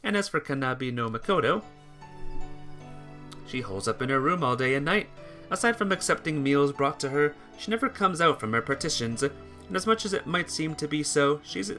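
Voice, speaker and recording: Guy narrating a visual novel, higher bright voice, theatrical, bit of music behind. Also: expressive, moderate pace.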